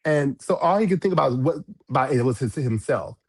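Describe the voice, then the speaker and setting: Forties male podcast guest, chatty storyteller, restarts often, mid-pitched. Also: expressive, fairly quick, darkish tone, husky. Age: 40s. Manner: storytelling, frequent restarts and repeated words. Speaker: guest, drag performer. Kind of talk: casual interview talk. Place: podcast studio.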